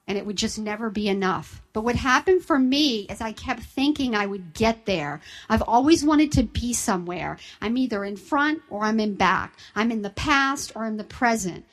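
A woman, sharing her personal recovery story with an audience in a candid, self-deprecating way. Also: a little high. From Southern United States. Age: 50s.

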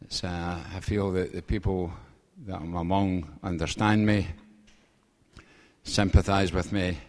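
Older male, Glasgow-area Scottish, lowish pitch, halting dry-humoured testimony speaker at a mic. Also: level tone, darkish tone. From Scotland. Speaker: guest main speaker. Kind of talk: personal testimony speech to a gathering. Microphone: event microphone.

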